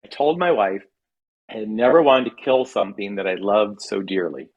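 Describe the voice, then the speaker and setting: A man's voice: on the low side, normal speed, lo-fi sound. An American man reading his humorous personal essay aloud in a wry, mock-dramatic manner. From California, United States. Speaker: writer reading own comic essay. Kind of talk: humorous storytelling read aloud.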